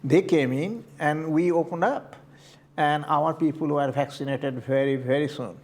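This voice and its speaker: A man's voice: on the high side, measured pace, a little mellow. An older man with an Indian accent, answering interview questions about his community committee work, hesitant with many fillers and restarts. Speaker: community board member.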